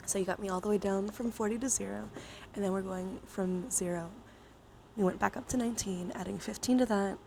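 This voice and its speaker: Casting: female livestream host, mid-pitched, playful and sweary, casual chat delivery, faint noise behind. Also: gravelly, a little mellow. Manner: playful, giggly, swears freely. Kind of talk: casual livestream chat with viewers during a dice game.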